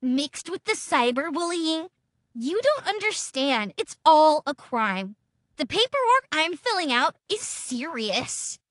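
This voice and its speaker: Lady doing a dramatic self-righteous woman in a text feud, menacing, high voice, really animated. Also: takes it slowly, no room sound, studio quality, heavily acted. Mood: aggrieved, menacing. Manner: exaggerated, accusatory, dramatic. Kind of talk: mocking dramatic reading of text messages.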